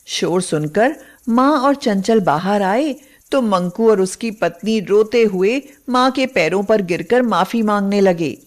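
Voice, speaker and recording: A woman tells a scripted moral tale as its narrator. Her pitch is mid-range and her pace is markedly slow, with deliberate, expressive phrasing that suits an animated story.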